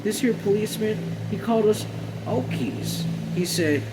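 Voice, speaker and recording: A protective, worried country mother, acted out by a man reading a novel. The voice is pitched up and speaks in plain folk talk with feisty grit. Loud, steady air or vehicle noise surrounds it. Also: moderate pace, close to an everyday voice. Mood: hesitant, grave.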